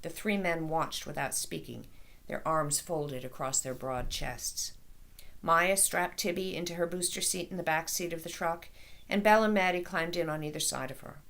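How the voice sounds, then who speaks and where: A woman author reading a children's story aloud in an expressive storytelling style. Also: low voice, normal speed.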